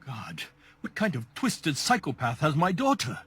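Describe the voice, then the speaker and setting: A desperate middle-aged father in a game drama, with a fairly high, husky voice. His strained, insistent delivery swings widely in pitch. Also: huge pitch swings, a little slow, a bit bright, heavily acted. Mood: distraught, insistent. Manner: urgent, insistent, agitated. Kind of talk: dramatic video game voice acting.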